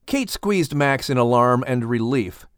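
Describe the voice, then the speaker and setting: A man's voice: mid-range voice, expressive, unhurried, clear, resonant tone, dry recording, studio quality. A man hosting and narrating a scripted animal story as a storyteller. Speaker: host and narrator.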